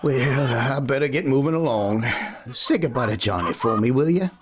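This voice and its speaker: A man playing a kindly, easygoing cowboy in a western radio play, talking in a halting, folksy way. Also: mid-range voice, lively intonation, slight background noise, lightly acted. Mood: warm farewell. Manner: folksy, halting, gently coaxing. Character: kindly, easygoing drifting cowboy of the Old West.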